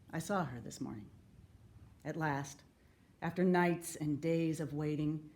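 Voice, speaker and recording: A woman giving a solemn, earnest and measured dramatic reading of a letter. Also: low-pitched, a little mellow. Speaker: actor reading historical letters.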